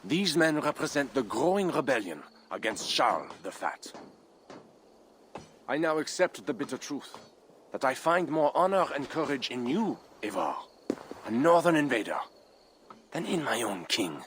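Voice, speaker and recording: A man's voice: mid-range voice, big pitch swings, normal speed, fairly bright tone, slight background noise. A man playing a proud, ambitious Frankish count, formal and solemn, in a dramatic video game.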